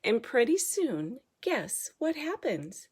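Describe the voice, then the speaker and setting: A woman reading a children's story aloud in an animated, dramatic storytelling manner. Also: a little high, takes it slowly, breathy edge. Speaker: storybook reader.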